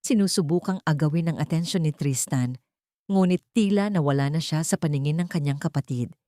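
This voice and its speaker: A woman's voice: low voice, fairly quick, mellow, no echo, studio quality. A woman reading a scripted romantic drama audiobook as its narrator, in a dramatic storytelling style.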